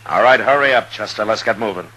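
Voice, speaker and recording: A man acting a stern, resolute western lawman in a 1950s radio drama, terse and authoritative with a gravelly voice. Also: lowish voice, fast, natural voice. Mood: urgent. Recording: hissy, humming 1950s radio recording.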